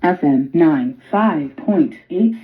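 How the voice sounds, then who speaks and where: A man's voice: on the high side, lively intonation, takes it slowly, a little hiss or hum. A synthetic male voice reading out numbers as a device announcer, clipped and mechanical. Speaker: radio's spoken tuning announcer. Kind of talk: synthetic readout of frequencies. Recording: dull small device speaker, lo-fi.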